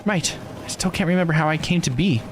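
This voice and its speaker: A man's voice: on the high side, fast, music in the background, voice pushed up, close to an everyday voice. A man voicing a meek, apologetic young woman from a visual novel game, with a hesitant, polite manner. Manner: hesitant, polite, self-effacing.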